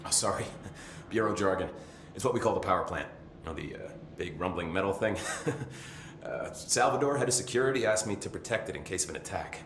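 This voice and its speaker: An actor playing a chatty, nervy, loyal maintenance security chief in a video game, rambling informally with wry swearing. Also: male voice, deep voice, animated, fairly quick, fairly bright tone, reverberant, a little hiss or hum. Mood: flustered, apologetic.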